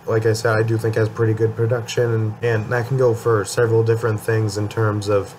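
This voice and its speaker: A man's voice: on the low side, flat delivery, brisk, a little mellow. Young male vlogger, voice worn from a cold, rambling delivery, faint hiss behind. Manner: rambling, many ums and restarts. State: getting over a cold, voice a bit worn. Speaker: music channel host. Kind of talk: casual album ranking talk.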